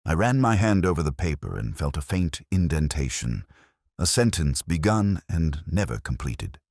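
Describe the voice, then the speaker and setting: Very deep-voiced male story narrator. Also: sing-song, normal speed, darkish tone, no room sound, clean studio sound. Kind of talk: scripted first-person detective story narration.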